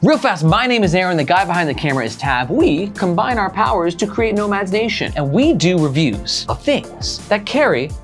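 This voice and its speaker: A man's voice: high voice, normal speed, few pauses, soft background music. A man in his thirties hosting a casual, joking product review at a desk in an indoor studio, showing bags with his hands as he talks. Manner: upbeat, joking, animated with hand demos. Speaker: backpack reviewer and host.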